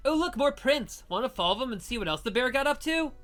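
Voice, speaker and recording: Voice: high-pitched, animated, fast, a bit bright, faint music behind, pitched above the performer's own voice. A woman voicing a bored, eager young adult woman in a visual novel, talking in slangy valley girl style. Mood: perky, eager. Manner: slangy, casual, perky.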